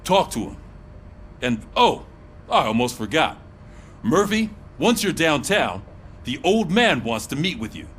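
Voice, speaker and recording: A man playing a gruff, commanding police sergeant in a video game, giving brisk, authoritative orders. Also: fairly high pitch, sing-song, a bit bright, a little hiss or hum. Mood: businesslike, firm.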